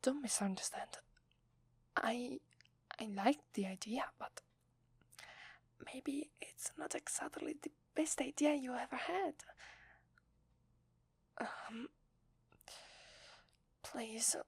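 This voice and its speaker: Breathy whispering Italian-accented wife, acted by a woman. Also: sits high, dry recording, exaggerated voice. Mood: nervous, earnest. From Italy. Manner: slow, hesitant, tender. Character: gentle, loving young wife with a secret. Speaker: ASMR voice actor. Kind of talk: ASMR roleplay, whispered.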